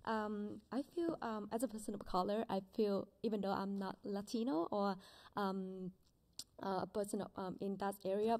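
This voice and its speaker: A young woman whose first language is Vietnamese, giving an earnest, halting public comment at a desk microphone in a school board meeting room. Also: medium pitch, mellow. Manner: earnest, halting, many ums.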